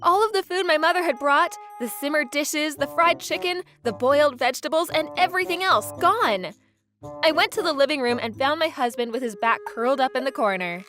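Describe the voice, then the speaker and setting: A woman narrating a first-person manga dub story in an expressive, theatrical way. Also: high voice, brisk, soft background music. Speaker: narrator and voice actor.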